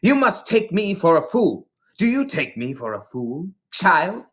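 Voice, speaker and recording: A reader voicing a bullying, contemptuous older headmistress from a storybook, scolding with heavy, drawn-out emphasis. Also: mid-range voice, big pitch swings, a bit bright, pitched above the performer's own voice. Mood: angry, accusing. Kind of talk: storybook character voice in a read-aloud.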